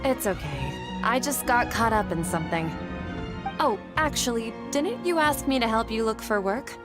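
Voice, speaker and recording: A woman's voice: a little high, expressive, moderate pace, lightly acted. A woman acting an earnest, polite and devout young desert woman in a video game. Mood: friendly, concerned. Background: game music.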